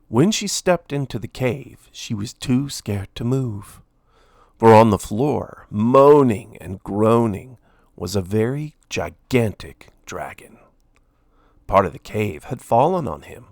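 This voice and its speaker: Slow, animated male storybook reader. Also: lowish voice, gravelly, no room sound, clean studio sound. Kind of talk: expressive scripted children's storytelling.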